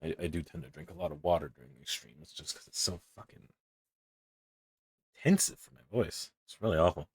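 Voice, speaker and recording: A young man with a very deep, low voice talks through his drawing in loose, rambling chatter, cursing offhandedly and poking fun at himself. The sound is dry and close, with no room around it. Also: a little fast, slightly rough, no echo. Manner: rambling, self-deprecating, swears casually. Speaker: streamer. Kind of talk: casual commentary while drawing.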